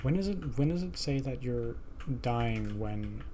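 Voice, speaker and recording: A man thinking aloud on a casual programming livestream, halting and self-deprecating as he mutters to himself. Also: mid-range voice, expressive, dark tone, slight background noise. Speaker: live streamer coding a game.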